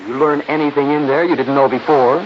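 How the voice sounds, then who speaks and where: Actor as a brash, fast-talking man. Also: fairly high pitch, fairly even intonation, natural voice. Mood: indignant. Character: brash, suspicious heir, quick to protest. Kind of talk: 1950s radio drama acting. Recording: muffled, faintly noisy 1950s radio recording.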